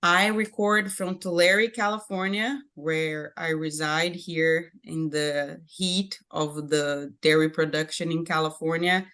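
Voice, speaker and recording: This is a woman from Brazil with a Brazilian accent, speaking English in a low, steady voice. She is a guest in an interview, and she pauses often and adds fillers as she answers. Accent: Brazilian Portuguese. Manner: hesitant, many fillers. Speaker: guest, animal nutrition director.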